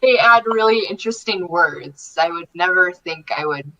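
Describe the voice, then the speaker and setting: A woman guest teaching words slowly and patiently, whose first language is not Chinese. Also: lowish voice, lo-fi sound, crowd noise. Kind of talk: friendly explaining.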